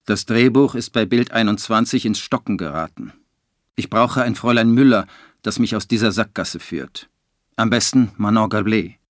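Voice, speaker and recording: A man's voice: lowish voice, no room sound, studio quality, natural voice. A man acting as a harried writer, narrating his troubles in the first person in a radio drama. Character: harried male writer narrating his dwindling fortunes, dry.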